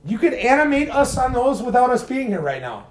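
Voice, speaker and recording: A man chatting casually in a garage, sarcastic and animated, with a booming, projecting voice, speaking into a phone he holds away from his face. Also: fairly quick. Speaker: podcast guest. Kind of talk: casual chat. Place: home garage. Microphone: phone held away from the face.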